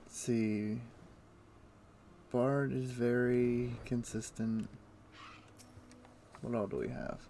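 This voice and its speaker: Gravelly-voiced man chatting while gaming. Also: mid-range voice, slow pace, pauses often, slight background noise. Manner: relaxed, rambling, thinks aloud with fillers. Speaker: game streamer. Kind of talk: casual gameplay chat. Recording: faint music in the background. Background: soft game music.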